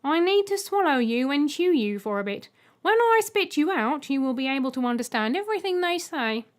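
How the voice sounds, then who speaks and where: A polite robot egg performed by a woman speaks in a high, raised voice for a storybook. It is formal and literal, bluntly matter-of-fact as it deals with humans. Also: sing-song, a little fast. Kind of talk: audiobook character voice.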